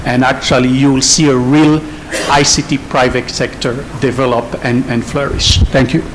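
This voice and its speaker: A man giving unscripted remarks as a conference panel speaker, halting and often rephrasing mid-sentence. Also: mid-range voice, flat delivery, noisy background.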